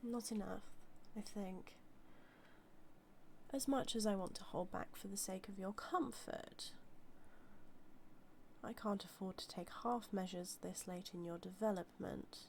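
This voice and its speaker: Woman playing a slow, creaky-voiced British mad scientist. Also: mid-range voice, darkish tone, a little hiss or hum. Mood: tender, regretful. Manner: measured, musing, condescending, tender toward her creation. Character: doting female mad scientist, villainous, coldly ambitious. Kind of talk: scripted audio roleplay monologue.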